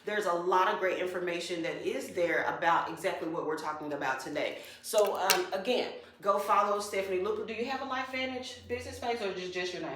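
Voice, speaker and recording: A woman's voice: on the low side, a little fast, hardly any pauses, a bit bright, slight background noise. A woman hosting a live podcast in a small studio, bubbly and exclamatory, calling listeners into the room. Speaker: podcast host. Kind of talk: casual live-stream chat. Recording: very echoey room.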